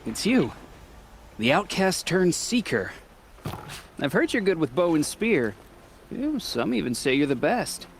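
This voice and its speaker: Cocky, lively taunting hunter. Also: male voice, fairly high pitch, unhurried, vocal fry, pauses often, slight background noise, full character voice. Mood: mocking, challenging. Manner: sarcastic, provoking. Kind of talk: video game dialogue acting.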